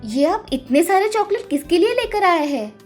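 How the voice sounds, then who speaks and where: A woman voicing a sweet, obedient young daughter-in-law in an animated moral story, polite and deferential. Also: very high-pitched, normal speed, soft background music, voice pushed up. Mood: pleasantly surprised.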